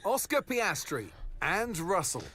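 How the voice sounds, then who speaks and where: A man doing scripted race commentary in a brisk broadcaster delivery, running through the starting grid. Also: high-pitched, sing-song, sharp tone, breathy edge.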